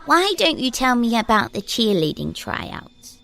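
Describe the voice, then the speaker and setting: A woman's voice: on the high side, sing-song, unhurried, creaky, sharp tone, exaggerated voice. A woman playing a poised, mysterious guide in an audio drama, arch and knowing as she probes. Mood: knowing, pointed.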